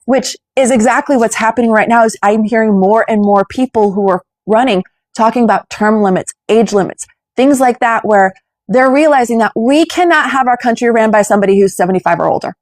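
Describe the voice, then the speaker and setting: A woman's voice: fairly high pitch, fairly quick, darkish tone, no room sound, studio quality. A woman in her forties hosting a political interview from home, speaking emphatically and persuasively. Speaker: podcast host.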